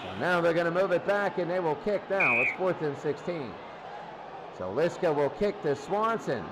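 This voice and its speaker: High-voiced man calling football over noise. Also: very animated, moderate pace. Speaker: play-by-play sports commentator. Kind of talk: American football radio-style play-by-play commentary.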